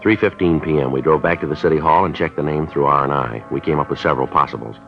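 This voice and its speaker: A deep, clipped police sergeant. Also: male voice, fast, gravelly. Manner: clipped, flat, matter-of-fact. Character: terse male police sergeant narrating, middle-aged. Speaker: detective narrator. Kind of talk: 1950s radio drama narration. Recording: dull lo-fi old radio recording with music.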